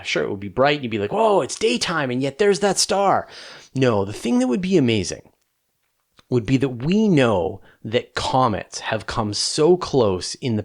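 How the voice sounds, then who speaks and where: A man hosting a question-and-answer show, explaining things in a casual, enthusiastic and emphatic conversational way. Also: medium pitch.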